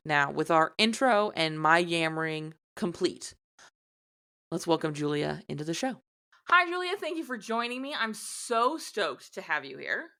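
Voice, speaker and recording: A woman's voice: on the low side, big pitch swings, pushed and bright. An American woman hosting a podcast interview, upbeat and enthusiastic in casual chat. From United States. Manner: upbeat and enthusiastic, quick reactions. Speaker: podcast host.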